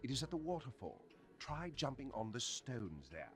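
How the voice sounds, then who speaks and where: A wise old male dragon from a video game who leans in to pass on secrets in a hushed, instructive way. His pitch rises and falls in a lively, sing-song manner. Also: normal speed. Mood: advising. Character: wise elder male dragon giving advice.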